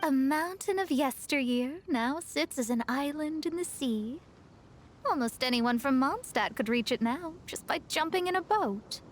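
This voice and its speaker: A woman's voice: sits high, animated, unhurried, a little hiss or hum. An actress playing an articulate, bookish young woman in a video game, inquisitive, poised and slightly formal. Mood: musing, impressed. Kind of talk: video game character dialogue. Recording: music behind the voice. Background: music.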